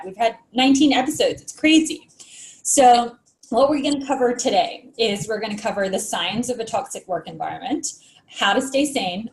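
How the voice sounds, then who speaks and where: A woman's voice: medium pitch, echoey room, low-quality recording. A woman co-hosting a live stream, friendly and organized as she runs through the outline, with some ums. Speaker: co-host.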